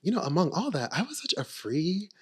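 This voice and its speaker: An American man from Maryland hosting a casual podcast chat in an expressive, affirming and playful way. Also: high-pitched, brisk, slightly rough. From Maryland, United States. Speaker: podcast host. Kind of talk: casual, animated interview chat.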